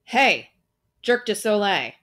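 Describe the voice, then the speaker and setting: A woman playing a breezily confident young sidekick in a motion comic, husky and flippant. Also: medium pitch, very animated, a bit bright. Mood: taunting. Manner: flippant, brisk retorts.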